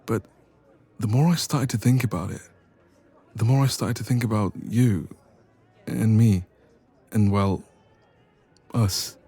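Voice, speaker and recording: A man playing a smitten, shy and teasing young best friend in a romantic audio roleplay, speaking softly and intimately with some hesitation. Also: lowish voice, breathy edge.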